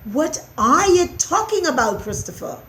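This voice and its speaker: Storybook mouse fellow voiced by a woman, questioning, indignant, emphatic, lively swooping pitch, slow delivery. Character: puzzled, indignant male mouse friend with emphatic temper. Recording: roomy echo, faint background noise.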